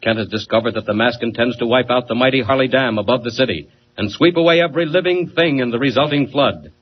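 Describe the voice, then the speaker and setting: A man's voice: medium pitch, fast talker, lightly acted. A man narrating a 1940s radio serial as announcer, declamatory and full of suspense. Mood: suspenseful. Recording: muffled, noisy old radio recording.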